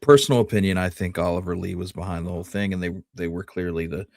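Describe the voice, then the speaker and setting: A man's voice: sits low, steady tone, fast talker, no echo, clean studio sound. A man co-hosting a history podcast, chatting casually and offering his own opinions.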